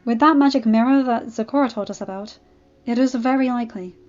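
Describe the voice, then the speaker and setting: A woman's voice: on the high side, a little fast, a little mellow, dull audio, close to an everyday voice. Woman doing a bookish earnest pony girl.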